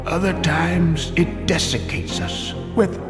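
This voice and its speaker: A man's voice: on the high side, lively intonation. A man playing a solemn, cryptic narrator who delivers poetic lines portentously in a game cutscene, with long echoing reverb. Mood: solemn, ominous. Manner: slow, portentous, theatrical. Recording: long echoing reverb under loud music.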